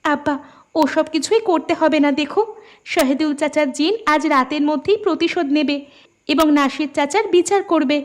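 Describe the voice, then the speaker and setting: Woman voicing a very high-pitched young daughter. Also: moderate pace, close to an everyday voice. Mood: earnest. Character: earnest young village daughter. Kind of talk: cartoon dubbing.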